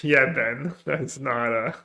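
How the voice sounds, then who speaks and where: A man with a bright, forward voice streams a card game live. He talks casually to his viewers and works through his plays out loud in a mid-range pitch. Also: slow, vocal fry. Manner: thinking aloud, planning plays. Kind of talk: live gameplay commentary, reading chat.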